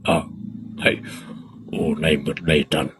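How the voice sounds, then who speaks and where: A man's voice: rumbling low, flat delivery, slow pace, noisy background, pitched below the performer's own voice. A man voicing a teasing older man in a radio drama, bantering and protesting with a Filipino accent. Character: teasing older man insisting on being called big brother.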